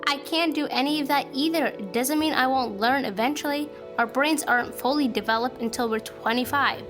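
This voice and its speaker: A woman's voice: sits high, fairly quick, lightly acted. A young woman playing a pushy, encouraging teenage girl, the best friend in a student audio drama, insistent and coaxing. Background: music behind the voice.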